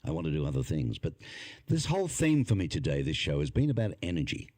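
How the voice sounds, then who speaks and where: Male podcast host, very low and warm voice, lively swings in pitch, dry close sound. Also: quick pace, dry recording. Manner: rambling, animated, asks guests questions. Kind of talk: casual interview chat.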